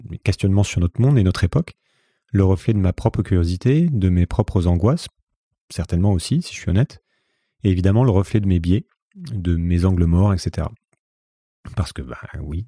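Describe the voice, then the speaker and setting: A man of about forty with a Parisian French accent hosts a solo podcast in a very deep, husky voice. He talks conversationally, thinking things through with a modest, reflective air. The recording is close and dry. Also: dark tone, no room sound. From France, Paris region. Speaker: podcast host.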